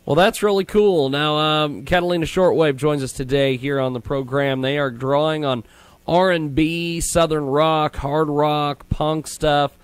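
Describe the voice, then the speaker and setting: A man hosting a live radio show, introducing guests and segments with frequent pauses. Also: a little high, steady tone, smooth, dry recording.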